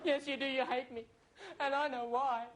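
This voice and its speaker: A man's voice: very high-pitched, level tone, moderate pace. A man performing in a sitcom, whining and ranting in a hysterical, melodramatic way. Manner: whiny, hysterical, melodramatic rants. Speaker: comedian in a sitcom. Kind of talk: scripted sitcom comedy. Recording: noisy, with loud studio audience laughter.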